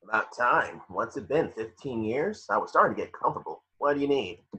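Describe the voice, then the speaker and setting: A man playing a laid-back older pilot friend with a husky voice in an amateur table read. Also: medium pitch, lively intonation, brisk, pushed and bright. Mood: easygoing, gruff.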